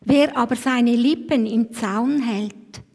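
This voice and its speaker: A Swiss woman leads a church service, her slightly high voice reading scripture at a slow, thoughtful pace. Her phrase ends sink to a near whisper. The sound carries the long reverb of a church hall. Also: darkish tone. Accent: Swiss German. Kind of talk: devotional talk and scripture reading.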